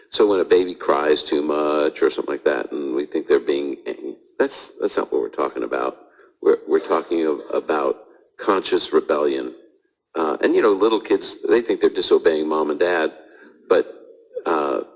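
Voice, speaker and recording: Male host, very low voice, thoughtful and hedging, live audience Q&A. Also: low-quality recording. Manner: thoughtful, hedging, frequent restarts. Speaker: radio host answering audience questions. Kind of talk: live call-in Bible Q&A.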